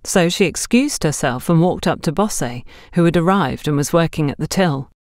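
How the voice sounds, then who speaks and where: A woman's voice: low voice, normal speed, darkish tone, dry recording, clean studio sound. A woman narrating a scripted mystery story as an audiobook.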